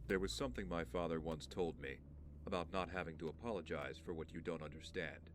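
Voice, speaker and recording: A man playing an injured, weary, wealthy young man in an audio drama, measured and evasive in how he talks. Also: deep voice, animated, background noise. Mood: weary, reflective. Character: wounded, guarded wealthy young man, weary but composed.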